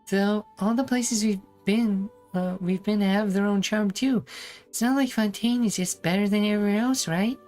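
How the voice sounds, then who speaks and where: A woman's voice: medium pitch, normal speed, a little mellow, close to an everyday voice. Small floating companion for a video game, female actor: childlike, naive, eager, light music underneath. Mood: curious.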